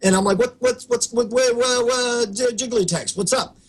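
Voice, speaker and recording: Hoarse man in animated podcast chat. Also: very high-pitched. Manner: animated, quick storytelling with restarts. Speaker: co-host. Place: home studio room.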